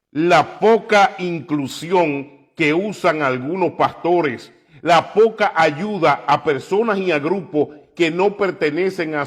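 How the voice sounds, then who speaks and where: Man, radio preacher, slow deliberate delivery, fairly high voice, impassioned. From New York. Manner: impassioned, slow and emphatic, rising to loud peaks. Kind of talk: preaching commentary.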